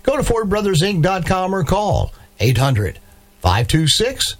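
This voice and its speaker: A man's voice: high voice, big pitch swings, unhurried. A man reading a scripted radio advertisement for a land auction in a salesy announcer delivery.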